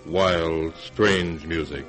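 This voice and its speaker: An actor playing an ominous narrator of horror tales, speaking solemnly and dramatically in 1940s radio style. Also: male voice, a bass voice, fairly even intonation, drawn-out words, fairly bright tone, exaggerated voice. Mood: eerie. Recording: old 1940s radio recording. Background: music.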